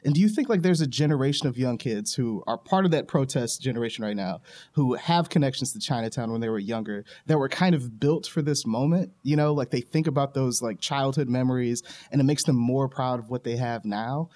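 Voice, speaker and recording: A man's voice: medium pitch, fairly quick, warm tone. A man interviewing a guest, asking long, rambling questions with many fillers.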